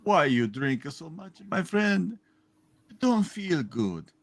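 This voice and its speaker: A man's voice: a little high, sing-song, slow, exaggerated voice. A man playing a friendly, caring barkeeper in a hammy amateur radio play. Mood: worried, kindly. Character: friendly concerned Italian barkeeper in a Christmas story.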